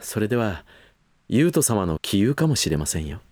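An actor playing an attentive, deferential male servant in a voice drama, speaking in a very formal, courteous, measured way. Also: on the low side, big pitch swings, darkish tone. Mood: calm, discreet. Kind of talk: Japanese voice drama acting.

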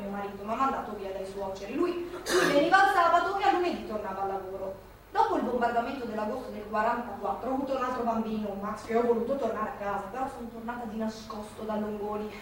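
Actress as elderly working-class woman, wartime memories, high bright storytelling voice, live stage, distant and echoey. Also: close to an everyday voice. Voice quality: lively storyteller, mimics the people she remembers. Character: elderly working-class woman from a Milan courtyard remembering the war. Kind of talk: theatrical monologue, recorded live. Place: theatre stage. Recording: echoey theatre stage, mic far off.

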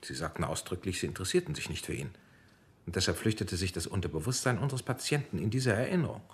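A low male voice with a composed, clinical manner. a calculating military doctor in a radio drama, explaining things coolly and precisely. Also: animated, fast. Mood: composed, reproachful. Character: cool, calculating military doctor overseeing an interrogation.

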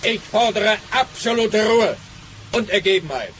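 A man's voice: high-pitched, lively intonation, unhurried, a bit bright, a little hiss or hum, poor audio. Pompous, officious policeman. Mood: bossy, indignant. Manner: stilted bureaucratic phrasing, self-important. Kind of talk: comic detective radio play.

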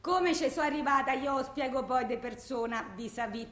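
High-pitched, distraught Roman wife. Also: female voice, moderate pace, a bit bright. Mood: desperate, pleading. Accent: Roman Italian. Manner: agitated, rambling, colloquial, self-justifying with emphatic repetitions. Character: distraught, volatile blind wife pleading with her lawyer. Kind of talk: theatrical radio monologue, framed as phone messages. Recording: roomy, echoing sound.

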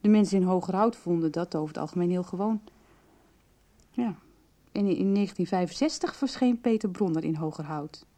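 An actress playing a calm, understanding woman who investigates and explains in a conversational Dutch radio soap. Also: lowish voice, brisk, lightly acted. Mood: engaged, explanatory.

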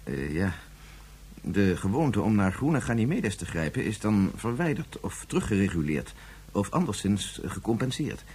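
Preoccupied sci-fi father: very husky, lively intonation, formally explanatory, radio play. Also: fairly low pitch, normal speed, heavily acted. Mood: grave. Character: preoccupied, reasoning father in a future society. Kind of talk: radio drama acting.

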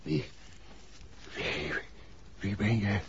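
An actor playing a badly injured, barely conscious man in a 1960s radio drama, struggling weakly to get words out. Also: lowish voice. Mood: weak, desperate. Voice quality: weak, halting, breathy gasps. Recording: dull vintage radio drama recording.

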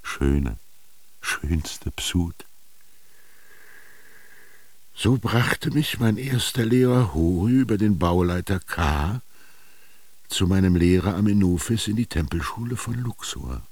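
An actor in a radio drama playing a melancholy, yearning ancient Egyptian man recalling his youth, speaking lyrically in a gravelly, husky voice with lingering pauses. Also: low-pitched, expressive, a little mellow, no room sound, exaggerated voice. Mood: sorrowful, intense. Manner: lyrical, brooding, with rhetorical questions and lingering pauses. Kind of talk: literary radio drama monologue.

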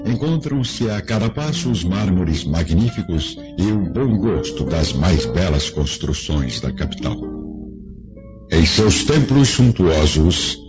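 A man narrating a radio drama from a script in a solemn, storytelling manner. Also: low-pitched. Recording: dull lo-fi audio under music.

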